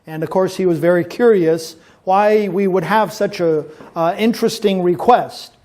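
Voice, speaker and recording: A man in his fifties with a noticeably high-pitched voice speaks as a pastor to a room of teenagers. He was raised in Taiwan and talks in an easygoing, storytelling way. He drops in small jokes and often pauses on "uh" as he gathers his words. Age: 50s. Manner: relaxed storytelling with gentle jokes and frequent "uh" pauses. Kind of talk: informal talk to a youth audience at a church event.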